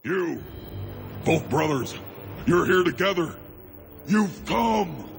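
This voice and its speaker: A man voicing a crazed, fanatical dwarf cultist who craves blood for his dark master in a video game. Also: fairly high pitch, lively intonation, slow pace, over music. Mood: frenzied zeal. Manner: fervent, fanatical declarations.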